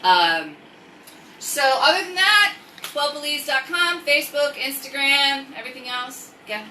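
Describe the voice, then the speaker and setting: A woman with a mid-pitched, sharply bright voice chats casually as a livestream host. She rambles and interrupts herself as she goes. The sound is roomy and off-mic, with faint noise behind her. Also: unhurried, distant mic. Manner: rambling, self-interrupting, animated asides. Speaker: online storytime host and craft seller.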